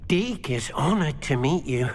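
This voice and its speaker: A man's voice: a little high, lively intonation, unhurried, vocal fry, exaggerated voice. A man voicing a small, humble, eager house-elf servant in a video game, deferential and speaking of himself in the third person. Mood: humble, apologetic. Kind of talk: video game character acting. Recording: long reverb, faint background noise.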